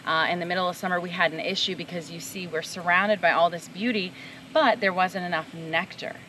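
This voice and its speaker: A middle-aged woman, a mixologist, chatting excitedly outdoors on a farm, recorded by a far camera. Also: on the low side, fairly quick, a little hiss or hum. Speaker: mixologist and co-host. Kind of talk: casual chat.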